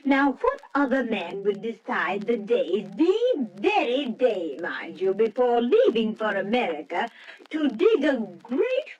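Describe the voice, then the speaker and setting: An actress in an old-time radio drama playing a bossy, chattering professor's wife, imperious and theatrically emphatic. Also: female voice, on the high side, sing-song, unhurried, a little mellow, highs cut off. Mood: confident, chatty.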